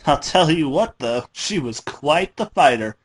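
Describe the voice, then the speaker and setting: A man's voice: fairly high pitch, lively intonation, a little slow, husky. A man acts out a young female cartoon pony with a sadistic streak. She is cheerful and chatty, rambling along in a breezy, matter-of-fact way, as in a dramatic storybook reading. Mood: chatty, gleeful.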